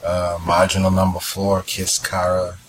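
A man's voice: deep voice, completely flat delivery, unhurried, background noise. A man chatting casually as a podcast host, musing hesitantly as he goes. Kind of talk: casual chat.

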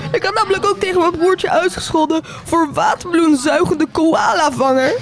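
Woman acting an excitable, extremely high-pitched oddball adventurer. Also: normal speed, over music. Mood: panicked. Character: panicky, excitable crash survivor and eccentric ape researcher. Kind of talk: amateur radio play acting.

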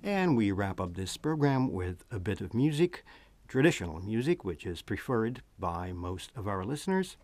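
A man with a Romanian accent hosting a radio language lesson, reading words slowly and deliberately. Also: on the low side, big pitch swings, darkish tone, faint music behind. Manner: slow, deliberate word-by-word teaching. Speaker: language show host.

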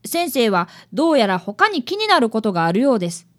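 A woman's voice: on the high side, sing-song, brisk. A woman voicing an eager fifth-grade boy, an apprentice detective, in a radio drama. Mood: earnest narrating. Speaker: actor and narrator in a radio drama. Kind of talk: radio drama acting and narration.

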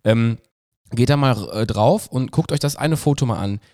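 A man's voice: medium pitch, normal speed, darkish tone, dry recording, clean studio sound. A young man from Germany hosting a casual podcast interview, enthusiastic and slangy, with many fillers. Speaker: podcast host.

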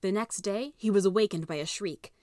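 A woman's voice: medium pitch, fairly quick, a little mellow, dry recording, clean studio sound. A woman narrator reading a scripted children's story.

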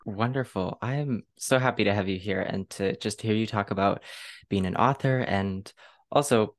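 Very low-voiced, chatty male podcast host. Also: moderate pace. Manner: enthusiastic, gushing. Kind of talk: casual chatty interview.

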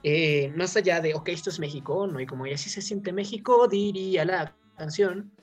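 Low-voiced Mexican woman, talk host. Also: moderate pace, darkish tone, soft background music. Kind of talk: informal opinion discussion.

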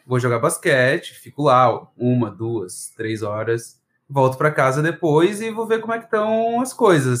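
A man's voice: mid-range voice, fairly bright tone. A man from southern Brazil with a mild Brazilian southern accent, chatting casually as a podcast guest in a rambling, slangy way. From Florianópolis, southern Brazil. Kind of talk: casual chat.

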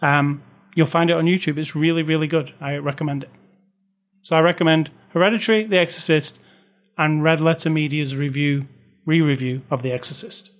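A man's voice: on the high side, fairly even intonation, brisk. A man co-hosting a casual film review podcast, chatty and opinionated, often restarting his sentences. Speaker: podcast co-host.

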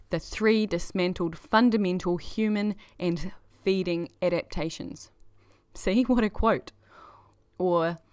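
A woman's voice: fairly low pitch, no room sound. A woman hosting a podcast, giving a chatty, enthusiastic scripted introduction. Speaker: podcast host.

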